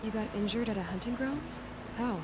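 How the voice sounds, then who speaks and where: An actress voicing a direct, self-assured young huntress in a video game. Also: female voice, fairly high pitch, brisk, lightly acted. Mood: concerned. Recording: clear background ambience noise.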